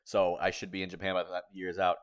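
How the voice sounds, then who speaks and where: A young man in his twenties talks casually to his livestream chat, his voice sitting somewhat low. He rambles and circles back on himself as he answers viewers. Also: monotone, rapid-fire, clean tone. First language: English. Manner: rambling, chatty, reads chat aloud. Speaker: streamer.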